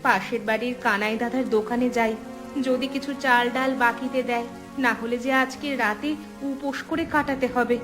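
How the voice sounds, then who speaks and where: A woman's voice: a little high, steady tone, moderate pace, music in the background, lightly acted. A woman playing a worried, poor village wife in a cartoon, fretting in a plaintive way. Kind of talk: cartoon voice acting.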